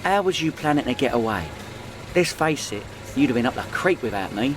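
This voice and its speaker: An actor playing a hapless, boastful small-time crook and cab driver with a London accent, chatting and rambling in a radio comedy. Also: male voice, mid-range voice, lively intonation, a little fast, background noise, close to an everyday voice. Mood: ingratiating.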